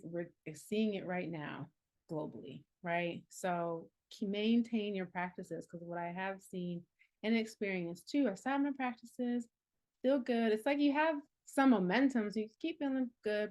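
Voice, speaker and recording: A woman's voice: medium pitch, a little slow, a little mellow. A woman in her forties, an herbalist and healing coach, talking casually and earnestly with frequent laughs and many fillers. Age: 40s. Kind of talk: casual reflective talk.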